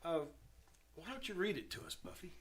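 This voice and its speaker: A man's voice: fairly high pitch, huge pitch swings, fairly quick. A man playing a cheerful, soothing father in a live radio drama, recorded on a noisy stage. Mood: gentle, reassuring. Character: cheerful, reassuring father home for Christmas. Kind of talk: live old-time radio drama acting. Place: small theater stage, live performance.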